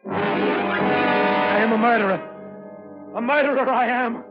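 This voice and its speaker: A man's voice: extremely high pitch, expressive, slow pace, music in the background, full character voice. An actor playing an unhinged middle-aged man acting out a guilt-crazed murderer, declaiming feverishly and repetitively in old radio thriller style. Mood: frenzied, horrified. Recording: muffled old radio broadcast recording.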